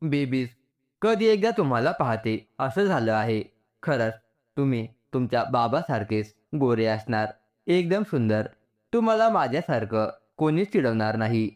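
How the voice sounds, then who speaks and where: A man voicing a tender, excited young pregnant woman in a story, chattering affectionately to her unborn babies. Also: medium pitch, normal speed, natural voice. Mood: tender excitement.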